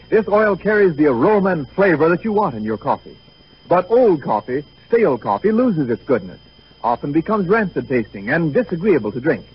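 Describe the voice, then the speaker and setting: A man announcing a scripted 1930s radio advertisement with emphatic, deliberate phrasing. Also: fairly high pitch, breathy edge. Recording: muffled 1938 radio broadcast.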